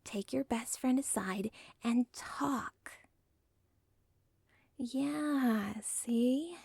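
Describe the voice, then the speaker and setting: A woman's voice: on the high side, drawn-out words, gravelly, a little mellow, husky, dry recording, studio quality, full character voice. A woman whispering as an unstable villain's daughter. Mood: pleading. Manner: intimate, pleading, self-aware. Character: obsessive lovestruck young villain's daughter, unstable. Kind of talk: ASMR audio role play.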